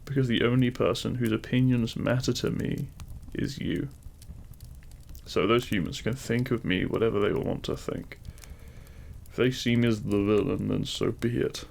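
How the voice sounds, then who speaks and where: Demon king romantic lead, male actor: very heavy vocal fry, tender and teasing, courtly wording, intimate ASMR roleplay delivery. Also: medium pitch, steady tone, moderate pace, a little mellow, a little hiss or hum, natural voice. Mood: earnest, adoring. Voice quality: gravelly. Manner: tender, formal, courtly phrasing.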